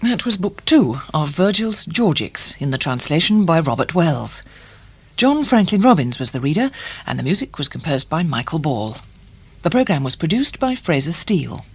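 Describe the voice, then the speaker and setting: A man makes a scripted continuity announcement for radio. His voice has a husky grain. The intonation moves up and down in a lively way. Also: on the high side, moderate pace.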